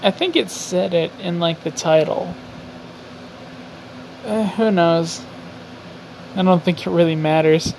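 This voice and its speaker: A young man talking slowly in a high, gravelly voice as he hosts his own podcast. He rambles, jokes at his own expense and swears freely. Faint highway traffic hums behind him in his garage. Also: darkish tone, low-quality recording. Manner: rambling, self-mocking, swears often. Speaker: podcast host. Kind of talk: casual solo podcast monologue. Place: home garage.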